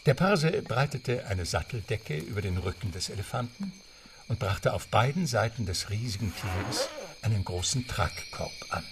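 A man's voice: on the low side, sing-song, normal speed, far from the mic, slight background noise. A man narrating a radio play in a literary storytelling style.

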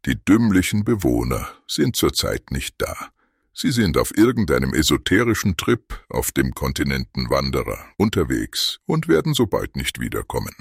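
Man playing a very deep gravelly scheming burglar. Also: wildly swooping pitch, moderate pace, dry recording, clean studio sound, full character voice. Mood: smug, conspiratorial. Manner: pompous, polite, self-satisfied. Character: smug, scheming male burglar plotting on the telephone. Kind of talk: 1980s trash comedy radio play.

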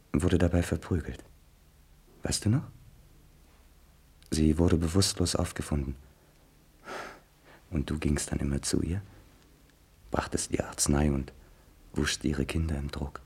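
A man's voice: very deep voice, steady tone, unhurried, pauses often, breathy edge, full character voice. An actor playing a bitter, jealous young male writer in a stage drama, brooding and then ranting. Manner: brooding whispers flaring into shouting.

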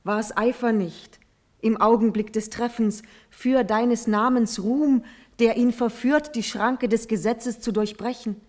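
A woman's voice: a little high, fairly even intonation, unhurried, a little mellow, natural voice. An actress playing a devoted young noblewoman in a classical verse drama, speaking with solemn, elevated declamation. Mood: impassioned pleading. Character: devoted, brave young noblewoman pleading for her cousin.

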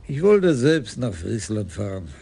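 An actor playing a weary, dry-humored senior police commissioner in a 1980s radio drama. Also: male voice, medium pitch, big pitch swings, measured pace, gravelly, dark tone, breathy edge, exaggerated voice. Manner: dry, sighing, clipped.

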